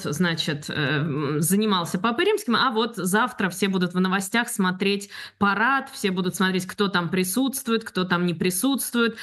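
A woman's voice: medium pitch, fairly bright tone. A woman in a political discussion, speaking casually and hesitantly with fillers.

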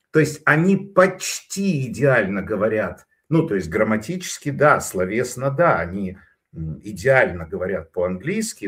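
A man talks in a low voice that swings up and down with animation. He tells rambling anecdotes and stops often to hesitate. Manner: animated, digressive storytelling with frequent hesitations. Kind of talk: casual spoken commentary.

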